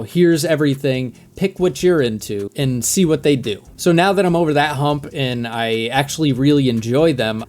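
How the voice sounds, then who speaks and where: A man's voice: medium pitch, a little mellow. A man with a mid-range voice hosts a music reaction video in native English. He talks in a casual, conversational way, reflecting on things as he goes. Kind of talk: casual reaction commentary to camera.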